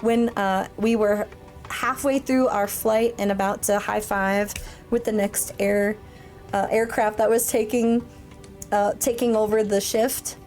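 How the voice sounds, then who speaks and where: A woman's voice: medium pitch, steady tone, unhurried, darkish tone, faint music behind. A woman in her thirties, a military veteran, chatting casually and telling stories on a livestream, laughing often. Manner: chatty and rambling, laughs often, with frequent fillers. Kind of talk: casual livestream chat and storytelling.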